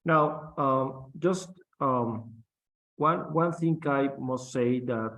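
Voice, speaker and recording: Middle-aged man, first language spanish, slow halting speech, many restarts. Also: medium pitch, a bit bright, rough recording. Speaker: guest captain. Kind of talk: interview on aviation.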